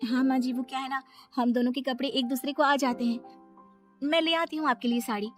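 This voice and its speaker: A woman acting a guileless high-voiced young daughter-in-law. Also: steady tone, a little fast, soft background music, lightly acted. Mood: innocent, candid. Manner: innocent, matter-of-fact. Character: guileless, honest young daughter-in-law who cannot lie.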